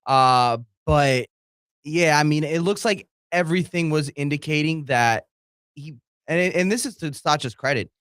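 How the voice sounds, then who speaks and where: A man's voice: fairly high pitch, a little slow, fairly bright tone, clean tone, no echo. Conversational male soccer pundit, dry sound. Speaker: soccer talk co-host and commentator. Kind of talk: casual sports analysis.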